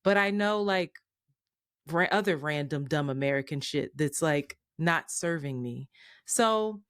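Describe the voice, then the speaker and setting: A woman's voice: low voice, expressive, a little slow, a bit bright, no echo, studio quality. A woman talking through her thoughts in a candid, casual podcast chat, with some casual swearing.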